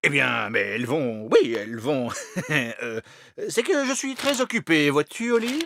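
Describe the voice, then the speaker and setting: A man's voice: fairly high pitch, very animated, measured pace, creaky, fairly bright tone, no echo, exaggerated voice. A man voicing a busy, flustered fairy king and father, hesitant and blustering, in a French cartoon dub.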